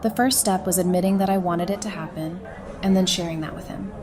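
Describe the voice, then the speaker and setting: A woman's voice: on the low side, steady tone, a little fast, close to an everyday voice. A woman playing a playful, confident young woman with a wry, teasing manner in an audio drama. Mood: candid, warm. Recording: music and party chatter behind. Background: music and crowd chatter.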